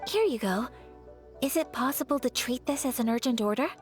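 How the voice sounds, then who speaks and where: Refined, high-voiced young noblewoman. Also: lively intonation, faint music behind. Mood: courteous. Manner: formal, polite, measured phrasing. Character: refined, gentle young noblewoman, polite and earnest. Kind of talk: video game voice acting.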